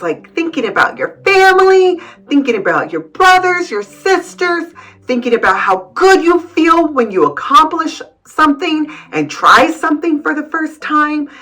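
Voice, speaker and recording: A woman reading a picture book aloud to young children, adding gentle, encouraging comments and addressing them as friends. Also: medium pitch, husky, poor audio. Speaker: children's read-aloud host.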